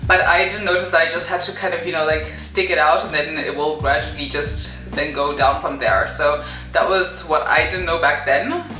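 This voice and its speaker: Young woman vlogging, lots of "kind of" and "um", bright voice, faint music behind. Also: low-pitched, fairly even intonation, moderate pace, reverberant, low-quality recording. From Zimbabwe. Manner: chatty, many fillers and "kind of". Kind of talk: personal story vlog.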